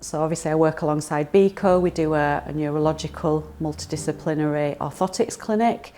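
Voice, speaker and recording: A woman's voice: deep voice, brisk, dark tone, soft background music. A woman physiotherapist talking about her work, reflective and earnest, with some fillers.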